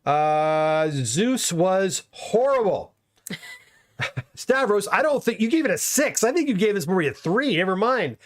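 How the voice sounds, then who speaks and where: A man's voice: sits high, big pitch swings, measured pace. Chatty middle-aged man hosting a stream. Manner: chatty, reads viewer comments aloud. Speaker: host. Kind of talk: casual livestream chat.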